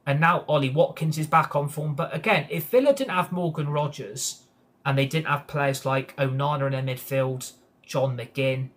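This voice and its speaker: A man's voice: fairly high pitch, moderate pace. A man hosting a live football match reaction stream, ranting heatedly, swearing often and answering his live chat. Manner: heated ranting with frequent swearing and repeated agreement. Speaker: football fan channel host.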